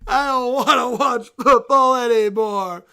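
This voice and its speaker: A woman voicing a furious little boy teddy bear, wailing and shouting his complaints through sobs. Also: fairly high pitch, expressive, takes it slowly, vocal fry, voice pushed down, heavily acted. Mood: whiny tantrum.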